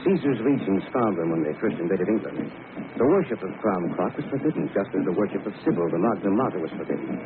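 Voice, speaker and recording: A man's voice: low voice, big pitch swings, fast. A man playing an earnest, decisive expedition leader and narrator in an old-time radio adventure drama, with a dramatic, emphatic delivery. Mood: tense, explaining. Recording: noisy, tinny old radio recording.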